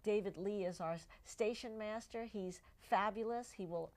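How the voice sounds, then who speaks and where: A woman's voice: medium pitch, measured pace, darkish tone. A middle-aged woman whose first language is Cantonese, hosting a health talk show and chatting with her guests, often asking them to agree. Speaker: eye doctor and show host. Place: TV studio.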